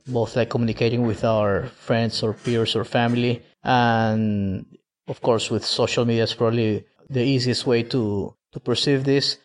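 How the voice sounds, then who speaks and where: A man from Venezuela, a company founder, answering interview questions in a halting way with many fillers and restarts. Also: medium pitch.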